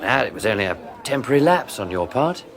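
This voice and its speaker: An actor playing a wry, genial family friend with a British accent in a radio drama, urbane and jovial. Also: male voice, mid-range voice, sing-song, quick pace. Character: wry, genial male colleague, friend and godfather. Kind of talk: 1980s radio drama acting.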